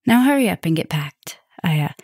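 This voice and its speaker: A protective female vampire professor, played by a woman, speaks in a fairly low voice, close and dry. She is softly dominant yet caring, addressing the listener intimately in ASMR roleplay style. Her gentle delivery often slips toward a breathy whisper. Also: very animated, brisk, no echo, exaggerated voice.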